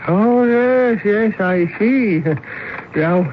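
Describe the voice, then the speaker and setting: A man's voice: high voice, drawn-out words. An actor playing a kindly, lonely, befuddled older chairman who stammers genially, in a 1970s radio drama. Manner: dithering, stammering, genial, chuckling. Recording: muffled, noisy old radio broadcast.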